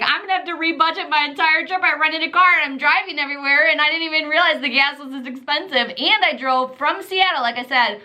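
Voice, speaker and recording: Bright-voiced chatty Midwestern woman vlogger. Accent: Midwestern American with a trace of Southern. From Michigan. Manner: chatty and animated, lots of fillers and repetition, jumps pitch when quoting herself. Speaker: travel vlogger. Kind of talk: casual storytelling to camera.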